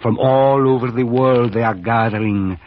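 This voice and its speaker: A man's voice: mid-range voice, normal speed, natural voice. An actor playing a sinister, silky, mockingly courteous cult priest in an old-time radio drama, drawing out his words with theatrical relish. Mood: fervent. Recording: muffled vintage radio recording.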